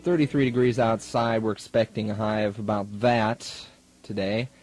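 A man's voice: lowish voice, fairly even intonation, moderate pace, darkish tone. A young American man working as a student radio DJ, announcing music and chatting in a casual, wry manner. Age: young adult. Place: radio studio. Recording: 1980s college radio aircheck tape.

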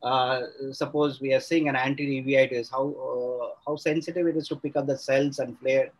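Polite middle-aged Indian judge questioning. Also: male voice, mid-range voice, fairly even intonation, moderate pace, low-quality recording. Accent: Indian English. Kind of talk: formal Q&A on video call. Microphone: video call.

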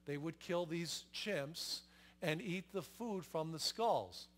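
A man's voice: on the high side, slow pace, fairly bright tone. A middle-aged man, a pastor, giving an explanatory, emphatic sermon from a church stage on a lapel mic, with fillers and restarts. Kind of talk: sermon with slides.

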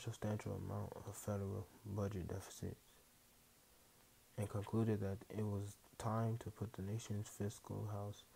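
Male newsreel narrator, low and mellow, scripted read over faint hiss. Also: low-quality recording. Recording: clear hiss behind the voice.

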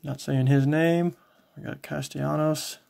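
A man casually commentating as he shows collectibles, in a low-key, folksy way, thinking aloud. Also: little pitch movement, measured pace, gravelly, darkish tone. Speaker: trading card collector and video host. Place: indoors, small home tabletop setup.

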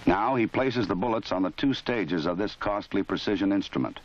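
Low-voiced American man, dated training film narrator. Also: slight background noise. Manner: measured, authoritative, matter-of-fact. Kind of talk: formal scripted documentary narration. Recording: dull lo-fi 1970s film soundtrack.

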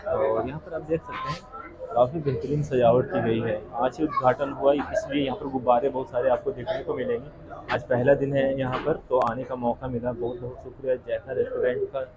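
A young man in his twenties chatting casually as a vlogger, filming himself on a phone held at arm's length. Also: medium pitch. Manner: chatty, repetitive, walks while filming. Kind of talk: casual vlog narration. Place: outdoors in city streets and a busy restaurant. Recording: dull lo-fi phone audio with crowd. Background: crowd chatter.

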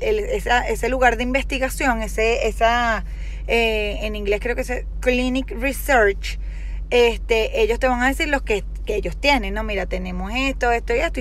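A woman's voice: fairly high pitch, a bit bright. A young woman chatting casually to her phone camera from inside a parked car, hesitant and full of fillers as she explains something. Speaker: vlogger. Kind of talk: casual selfie-video chat. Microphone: phone held at arm's length.

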